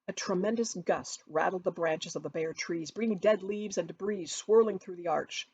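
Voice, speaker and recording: A woman narrates a novel as its author, in an expressive storytelling style. Her voice is slightly low, with a husky edge to it. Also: moderate pace, rough recording. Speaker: author reading from own book.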